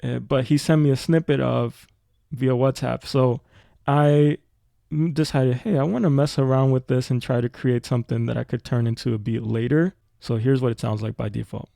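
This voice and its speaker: Music production tutor, male, casual explainer. Soft-edged, mellow tone, dry recording. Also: medium pitch, fairly even intonation, moderate pace, vocal fry, no room sound.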